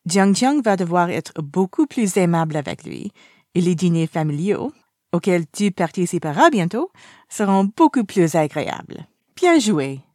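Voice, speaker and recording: A woman reading the part of a playful, nosy, dramatically indignant young man in a story, animated and mischievous. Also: fairly low pitch, measured pace, dry recording, clean studio sound. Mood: teasing.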